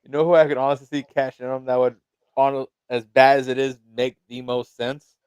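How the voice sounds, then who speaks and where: A man's voice: mid-range voice, level tone, a little fast, a little mellow, smooth, no room sound. A man hosting a casual wrestling talk stream, often stammering and restarting as he thinks aloud, chuckling at his own ideas. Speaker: wrestling show host. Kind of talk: casual livestream chat.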